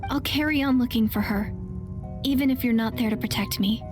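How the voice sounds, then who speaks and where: An actress playing an earnest, hopeful young woman in a video game, speaking gently and deliberately. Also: a little high, over music, close to an everyday voice. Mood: tender, resolute. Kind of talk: video game character acting.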